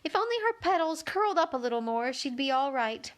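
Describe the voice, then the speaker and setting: A woman voicing a bossy, excitable tiger lily with a quick temper and a scolding, haughty manner in a children's storybook. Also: high-pitched, voice pushed up.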